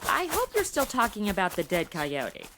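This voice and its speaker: A woman's voice: medium pitch, wildly swooping pitch, moderate pace, crowd noise. An actress playing a spoiled modern city woman stuck in the Old West, sarcastic, blunt and complaining, in a comedy audio drama. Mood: flippant.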